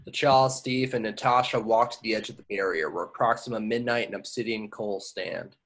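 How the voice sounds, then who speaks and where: A man's voice: lowish voice, brisk, a bit bright. A man reading screenplay action lines aloud as narrator in an amateur table read. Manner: stumbles on invented place names.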